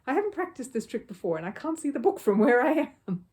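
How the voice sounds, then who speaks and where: A woman's voice: sits high, sing-song, fast, darkish tone, voice pushed up. A woman voicing a young male storybook bear, polite, earnest, hesitant and a bit hapless. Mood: polite, unsure.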